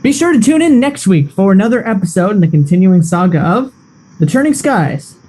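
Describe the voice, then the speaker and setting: An actor playing a 1940s radio announcer narrating a serial with melodramatic flair. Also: male voice, high-pitched, sing-song, fairly quick, warm tone. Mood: dramatic. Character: old-time male radio announcer and narrator. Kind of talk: scripted narration.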